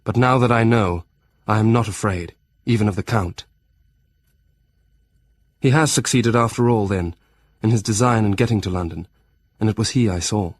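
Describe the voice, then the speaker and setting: A man's voice: on the low side, moderate pace, no echo, close to an everyday voice. An actor playing a young Victorian solicitor, shaken but resolute, narrating his diary in an audio drama with earnest determination.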